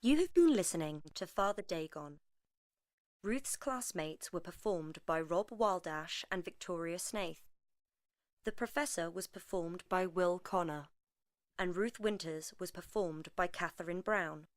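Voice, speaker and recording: A woman's voice: fairly low pitch, unhurried, no echo, studio quality. A woman announcer reading scripted closing credits for an audio drama podcast.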